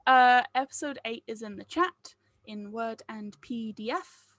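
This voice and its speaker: High-voiced woman, jokey and self-deprecating. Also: unhurried, a bit bright. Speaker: writer leading a table read. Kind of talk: casual video call chat.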